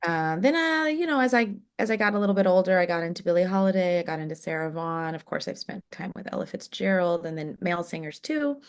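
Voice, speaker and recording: Chatty woman jazz singer, lowish voice. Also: animated, fast talker, hardly any pauses, clean tone. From Oklahoma, USA. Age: 30s. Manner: chatty, warm anecdotes with many fillers and laughs. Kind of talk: casual podcast interview.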